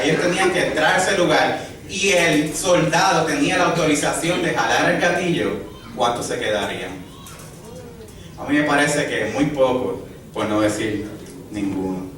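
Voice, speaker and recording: A man's voice: on the high side, slight background noise. A man from Puerto Rico with a Puerto Rican accent, preaching a sermon and asking the congregation rhetorical questions. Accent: Puerto Rican Spanish. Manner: rhetorical questions, lively emphasis. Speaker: pastor. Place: church hall. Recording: echoey hall, distant mic, faint ambience.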